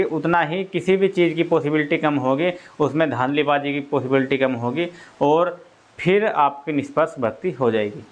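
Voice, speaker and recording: A man with a slightly high voice talks as an exam coach. He explains things informally, point by point, and goes back over each one with frequent little checks for understanding. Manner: repetitive, explanatory, often checks with "okay".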